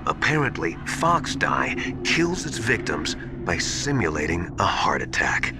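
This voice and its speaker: Animated, anxious scientist sidekick. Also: male voice, fairly low pitch, a little slow, creaky, exaggerated voice. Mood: hushed, uneasy. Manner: hesitant, confiding. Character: earnest, anxious male scientist ally confiding secrets. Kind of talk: dramatic video game acting. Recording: loud music behind.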